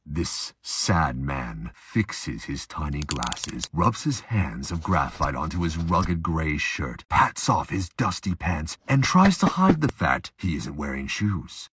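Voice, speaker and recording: A man with a husky voice narrating a dark audio drama slowly and ominously, with dramatic pauses. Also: extremely low pitch, very animated, slight background noise.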